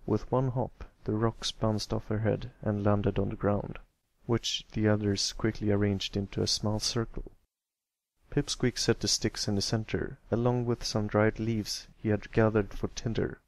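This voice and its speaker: Creaky-voiced man reading a story. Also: fairly low pitch, little pitch movement, a little slow, no room sound. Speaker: amateur story narrator. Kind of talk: scripted narration, fan fiction reading.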